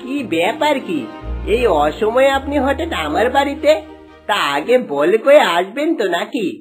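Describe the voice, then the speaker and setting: A woman as a cartoon husband, high voice, greedy, full of bluster, cowardly whine, music underneath. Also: big pitch swings, normal speed. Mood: annoyed, scornful. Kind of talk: children's cartoon dialogue.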